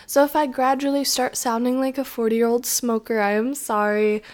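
A woman talks casually into her podcast mic, rambling from one thought to the next. Her voice is raspy from a sore throat, and she leans on "like" and "um" as she goes. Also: a little high, little pitch movement, normal speed, a little mellow. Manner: chatty, rambling, many "like"s and "um"s. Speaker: podcast host. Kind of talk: casual solo podcast chat. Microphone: podcast microphone.

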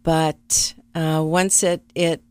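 Low-voiced woman, thoughtful interview guest. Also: flat delivery, clear, resonant tone, no echo. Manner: soft-spoken, thoughtful, with frequent pauses. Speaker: interview guest, travel business owner. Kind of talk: conversational interview.

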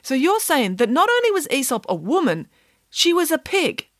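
A woman's voice: high voice, big pitch swings, moderate pace, fairly bright tone, no echo, studio quality, pitched above the performer's own voice. A woman voicing a sensible young schoolgirl in an audiobook, doubtful and incredulous as she points out flaws. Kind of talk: audiobook character voice.

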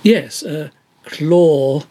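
A man's voice: on the high side, extremely slow, dark tone. An older man interviewing in a scripted comedy dialogue, with a prim, pedantic manner.

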